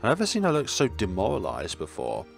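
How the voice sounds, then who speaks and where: Male gaming narrator, lowish and very varied in pitch, reading story text with offhand asides over soft music. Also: wildly swooping pitch, brisk, gravelly. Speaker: gaming video maker reading a visual novel aloud. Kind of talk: let's-play read-aloud with casual side remarks. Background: game music.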